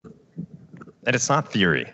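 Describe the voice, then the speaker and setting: A man co-founder delivering a confident, salesy startup pitch on stage. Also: big pitch swings, slow pace, sharp tone, dull audio. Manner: confident, salesy, building to asks.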